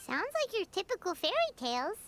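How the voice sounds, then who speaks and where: A woman voicing a tiny floating fairy companion who reacts with squeaky, exclamatory surprise. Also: sing-song, a little fast, a bit bright, a little hiss or hum, exaggerated voice. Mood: incredulous.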